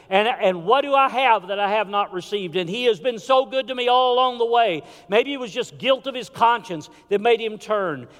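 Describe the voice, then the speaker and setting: Impassioned preacher in a reverberant church. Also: male voice, extremely high pitch. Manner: impassioned preaching with sudden drops to a hush. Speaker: pastor. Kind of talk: sermon. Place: church sanctuary. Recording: hall reverb.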